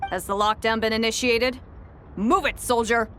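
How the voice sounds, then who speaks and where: A woman's voice: a little high, steady tone, moderate pace, a bit bright, a little hiss or hum, close to an everyday voice. A woman voicing a stern military commander in a video game, clipped and commanding. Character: stern female military commander barking orders.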